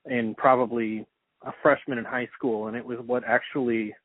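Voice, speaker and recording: Middle aged american guy doing a casual interview, mid pitch, sounds like a phone line. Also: level tone, normal speed, slight background noise. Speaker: podcast interviewer. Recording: dry, narrowband phone-like sound.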